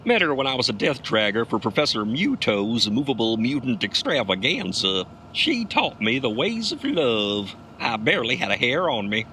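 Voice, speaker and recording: Folksy male trucker sleuth, bright and forceful, very animated, wisecracking audio drama delivery. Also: mid-range voice, normal speed, a little hiss or hum. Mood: wry, folksy. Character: folksy tough male trucker and amateur detective. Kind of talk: comedic audio drama acting.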